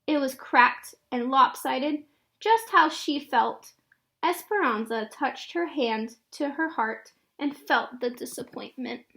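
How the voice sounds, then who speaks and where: A woman reading a children's picture book aloud in an expressive storytelling style. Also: high-pitched, takes it slowly. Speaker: read-aloud narrator.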